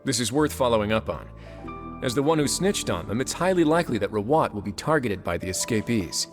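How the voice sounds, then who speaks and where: An actor playing a composed, courteous and shrewd duke with quiet authority in a video game. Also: male voice, mid-range voice, animated, fairly quick, lightly acted. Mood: composed, persuasive. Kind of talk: scripted video game dialogue. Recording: clear background music.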